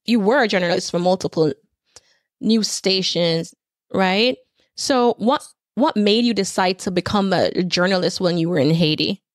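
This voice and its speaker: A woman's voice: medium pitch, moderate pace, dry recording, clean studio sound. A woman hosting a podcast interview, asking questions in an encouraging, affirming way. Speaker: podcast host.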